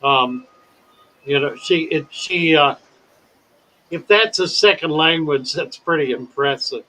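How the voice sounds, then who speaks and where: An older man hosts a relaxed live chat with a slightly high voice. He takes his time, choosing his words slowly and carefully, with a wordy, storytelling manner. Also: rough recording. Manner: slow, wordy, deliberate storytelling. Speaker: livestream host. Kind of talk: casual chat.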